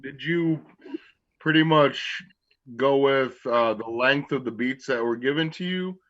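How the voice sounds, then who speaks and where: A man's voice: mid-range voice, measured pace, a bit bright. A man co-hosting a podcast, asking questions hesitantly and rephrasing as he goes. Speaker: podcast co-host. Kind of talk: casual podcast conversation. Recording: dull lo-fi sound.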